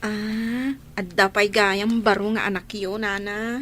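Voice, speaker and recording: A woman's voice: medium pitch, little pitch movement, a little slow, fairly bright tone, slight background noise, close to an everyday voice. A woman playing a coy, polite young single Filipino woman being courted in a radio drama. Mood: polite, friendly.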